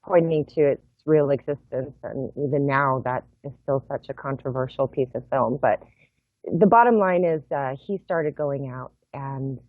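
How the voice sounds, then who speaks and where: A middle-aged woman, a guest on a video call from home, explaining her project in a measured, thoughtful way with frequent pauses. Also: rumbling low, dry recording. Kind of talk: explaining in an interview. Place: home room. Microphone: laptop webcam mic on video call. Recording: tinny phone-like video call audio, dry.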